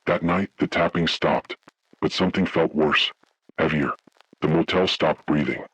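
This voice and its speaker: A man voicing a haunted, grave survivor, narrating a scripted first-person horror story in slow, deliberate, ominous phrases with a gravelly, husky voice. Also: rumbling low, fairly even intonation, fairly bright tone, no room sound, full character voice, low-quality recording. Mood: grave dread. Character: haunted man recounting childhood motel horror, grave, dread-filled.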